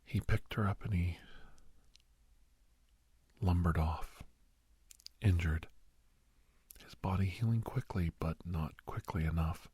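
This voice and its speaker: A man playing a grave doctor in an ASMR roleplay, telling a haunting memory in a hushed, halting, breathy voice that often drops to a whisper. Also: deep voice, no echo, exaggerated voice. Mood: grave, intent. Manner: halting, hushed, dramatic delivery. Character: grave male doctor recounting a frightening memory to youngster.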